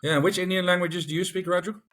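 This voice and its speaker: A man's voice: sits high, fairly even intonation, quick pace, a bit bright. A man talking casually over a video call as a podcast guest, telling his story and acting out the dialogue he quotes.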